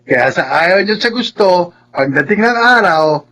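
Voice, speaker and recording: A man's voice: high voice, animated, unhurried, bright, forceful tone, full character voice. A man with a Filipino accent playing a loyal, philosophizing errand man in a radio drama. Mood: philosophical. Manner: folksy, philosophizing. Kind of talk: Tagalog radio drama acting.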